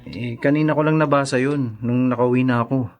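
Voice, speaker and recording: A man's voice: mid-range voice, steady tone, a little fast, natural voice. A man with a Filipino accent playing a flippant, happy-go-lucky young father in a radio drama. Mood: apologetic. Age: young adult. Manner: wheedling, flippant.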